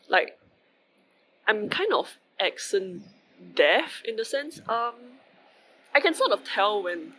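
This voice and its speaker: Bright-voiced Singaporean man musing aloud. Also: high voice, slight background noise, poor audio. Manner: rambling, many fillers and asides. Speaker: vlogger. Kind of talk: casual reflective monologue.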